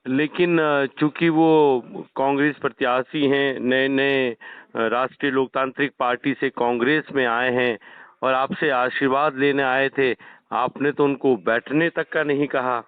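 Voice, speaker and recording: A man's voice: medium pitch, steady tone, a little slow, clear, resonant tone, no echo. Persistent male interviewer on phone. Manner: polite but persistent, frequent acknowledgements. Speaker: journalist interviewer. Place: telephone call. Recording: dry, narrow phone call recording.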